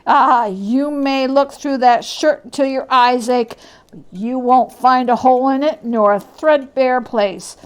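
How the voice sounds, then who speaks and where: Brief: callous thieving woman played by a female reader, loud, brazen and scornful, pitched high, storybook drama. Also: slow pace, smooth. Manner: brash, scornful, colloquial. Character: brazen, callous scavenging woman selling a dead man's things.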